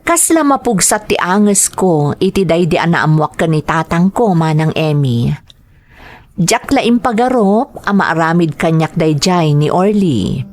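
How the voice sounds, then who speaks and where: A woman's voice: on the low side, lively intonation, unhurried, a little mellow. A woman playing a devoted, hopeful grown daughter with an Ilocano accent in a family audio drama. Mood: hurt, sorrowful.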